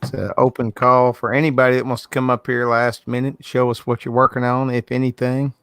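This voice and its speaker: A man's voice: mid-range voice, flat delivery, brisk, a bit bright, clear, resonant tone, no echo. Southern male host, casual rambling delivery, dry laptop-call audio. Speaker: host of an art livestream. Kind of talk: casual chat. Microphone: video call from a laptop.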